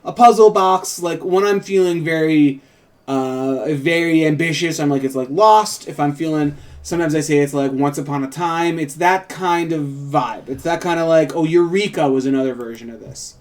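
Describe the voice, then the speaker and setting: A man's voice: on the high side, moderate pace. A man co-hosting a show, chatting casually in a loose, rambling way. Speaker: show co-host. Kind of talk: casual co-hosted chat.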